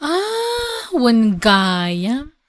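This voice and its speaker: Young female lead: caring yet easily irked girlfriend, high voice, direct radio drama delivery, dry sound. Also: huge pitch swings, extremely slow, no room sound, full character voice. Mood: casual. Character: young girlfriend, caring but quickly irritated. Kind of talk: Ilocano radio drama acting.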